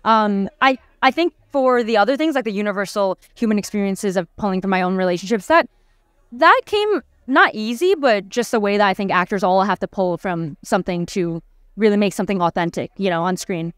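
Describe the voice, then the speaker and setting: A young woman in her twenties, an actor, answering red-carpet questions thoughtfully with many pauses, fillers and restarts, into a handheld mic. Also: medium pitch, expressive, clean tone, no echo, studio quality. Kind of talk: casual red-carpet interview. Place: busy premiere red carpet, indoors. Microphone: handheld mic held by interviewer.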